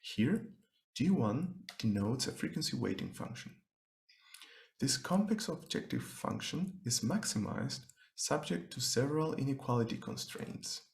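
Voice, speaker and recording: A man giving a scripted technical presentation as a researcher, speaking formally and in measured, step-by-step phrases. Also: mid-range voice, animated, gravelly, roomy sound.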